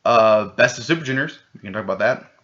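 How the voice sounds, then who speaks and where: A man co-hosting a casual podcast chat, rambling and excitable, swearing freely. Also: mid-range voice, fast talker. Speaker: podcast co-host. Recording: dull lo-fi podcast audio.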